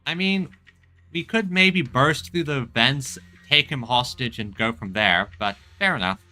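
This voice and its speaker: A man's voice: mid-range voice, a little slow, bright, forceful tone. A man giving casual game commentary with wry, amused asides while game music plays. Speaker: game streamer. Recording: faint game music behind. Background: faint game music.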